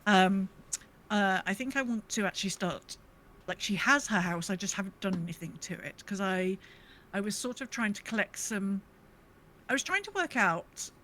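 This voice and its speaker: A woman's voice: medium pitch, expressive, moderate pace, fairly bright tone, slight background noise, low-quality recording. A woman co-hosting a podcast, chatting casually about gaming in a rambling, self-correcting way. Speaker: podcast co-host.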